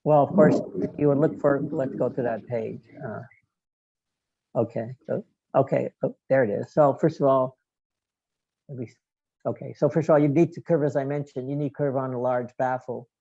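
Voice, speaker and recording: Gravelly, mellow man explaining technical material. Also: medium pitch, fairly even intonation, no room sound, highs cut off. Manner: halting, digressive, explanatory. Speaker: guest lecturer, loudspeaker engineer. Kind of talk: technical presentation with question and answer.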